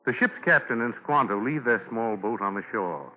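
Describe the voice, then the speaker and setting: A man narrating a 1940s radio drama in a formal, measured storytelling style. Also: lowish voice, lively intonation, soft background music. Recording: narrow-band 1940s radio broadcast.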